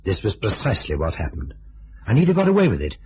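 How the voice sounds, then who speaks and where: An actor playing a theatrical gentleman companion who explains the case in an old-time radio drama. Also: lowish voice, huge pitch swings, fast, slightly rough, exaggerated voice. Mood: explanatory. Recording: dull, lo-fi vintage radio recording.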